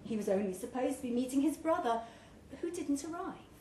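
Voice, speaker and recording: A woman's voice: sits high, animated, brisk, mellow. A woman reading a short story aloud to a live event, expressively, giving life to the dialogue. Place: indoors, pub event room. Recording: roomy live pub recording, background noise.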